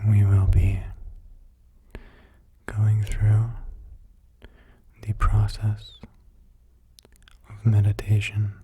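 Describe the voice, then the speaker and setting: A man softly guiding a meditation in a hushed, breathy, mostly whispered ASMR voice, soothing, in short halting phrases. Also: fairly low pitch, monotone. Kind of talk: soft-spoken ASMR guided meditation.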